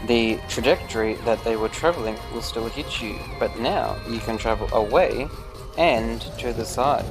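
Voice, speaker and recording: A man's voice: medium pitch, normal speed, music in the background. A man hosting a gaming video, giving gameplay commentary in careful, formal phrasing with few contractions.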